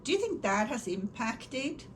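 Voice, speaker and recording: A woman's voice: a little high, very animated, a little slow. Female podcast host with faint background noise. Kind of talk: prepared introduction and interview questions. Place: university office.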